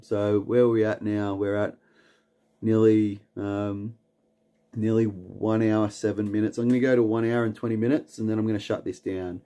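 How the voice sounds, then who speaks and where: A man with an Australian accent, hosting a late-night livestream and reading chat comments aloud. He rambles in a relaxed way, with lots of "um"s and repeated words, and chuckles often. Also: on the low side, moderate pace, clear, resonant tone. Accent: Australian, from Newcastle. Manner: chuckles often between lines. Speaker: livestream host.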